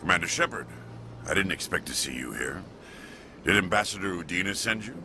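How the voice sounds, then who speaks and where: An extremely low, creaky voice for a proud alien police chief in a video game. He speaks curtly and with stern authority, openly disapproving. Music plays clearly behind him. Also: expressive, a little fast. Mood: guarded, formal. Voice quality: gravelly. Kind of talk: video game dialogue acting.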